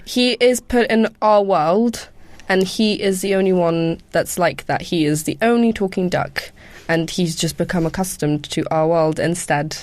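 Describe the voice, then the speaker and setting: Giggly woman, low voice. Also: measured pace, darkish tone. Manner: laughing, self-deprecating. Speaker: podcast co-host. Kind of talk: casual chat.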